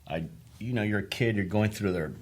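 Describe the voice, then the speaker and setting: A man's voice: fairly low pitch, brisk. A middle-aged man from Argentina, a lead guitarist, chatting casually and rambling with many restarts. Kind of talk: casual chat.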